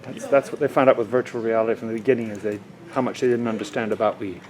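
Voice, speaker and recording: A man's voice: lowish voice, fairly even intonation, rapid-fire, a little mellow. A man interviewing people in a casual, curious way, asking questions with chatty asides. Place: busy studio workspace. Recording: crowd chatter and room noise behind.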